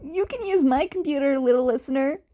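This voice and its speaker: A woman's voice: sits high, brisk, warm tone, lightly acted. A woman voicing an upbeat, kindly adult male hero in a fan fiction audiobook, doting and sympathetic in manner. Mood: friendly. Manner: gushing, sympathetic.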